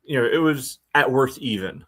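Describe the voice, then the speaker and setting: A man co-hosting a sports podcast, analysing in a casual, halting way full of verbal tics. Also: medium pitch, expressive, a bit bright. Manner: halting, peppers speech with "you know".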